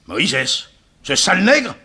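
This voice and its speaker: A gruff, irascible older planter and father in an old French radio play. His husky voice is forceful and swings widely in pitch, with a strict, scolding manner. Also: huge pitch swings, slow, full character voice. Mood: irate. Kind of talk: 1960s French radio drama acting.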